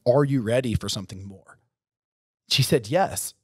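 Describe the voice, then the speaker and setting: A man's voice: mid-range voice, normal speed, a little mellow, husky, no room sound. A man in his forties co-hosting a business podcast, talking emphatically like a coach giving a pep talk, on a studio mic in a gym. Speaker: podcast co-host. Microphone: studio mic on boom arm, headphones.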